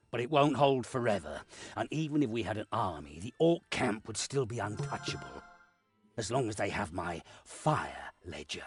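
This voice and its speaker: An actor playing a stern, authoritative lord of a castle in a video game, husky and deliberate. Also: male voice, medium pitch, a bit bright, no room sound, full character voice. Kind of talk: video game cutscene acting.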